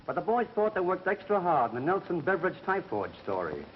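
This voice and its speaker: An actor playing a menacing, sly racketeer in 1930s crime film style, tough and insinuating. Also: male voice, high voice, very animated, brisk. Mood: sly, insistent. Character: menacing, sly racketeer making veiled threats. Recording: muffled 1930s film sound, faint hiss.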